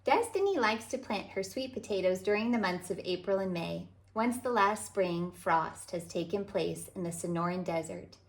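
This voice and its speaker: Expressive woman reading a children's storybook. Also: lowish voice. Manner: deliberate, animated reading for young children. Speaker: children's author and read-aloud host.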